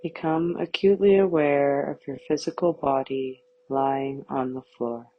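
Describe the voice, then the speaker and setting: A woman guiding a yoga nidra meditation with calm, soothing, measured scripted instructions. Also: rumbling low, highs cut off, a little hiss or hum.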